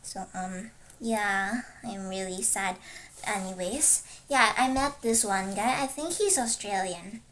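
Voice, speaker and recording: A woman's voice: mid-range voice, slow pace, fairly bright tone. A teenage girl whose first language is Chinese, chatting casually on a livestream while she studies, rambling and hesitant, with many fillers and self-corrections. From Philippines. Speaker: vtuber streamer.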